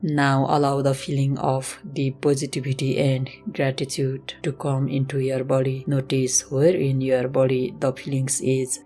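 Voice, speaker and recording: Very low-voiced woman guiding meditation. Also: monotone, slightly rough. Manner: gentle, measured, instructional. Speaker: yoga and meditation instructor. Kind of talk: slow guided meditation. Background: music.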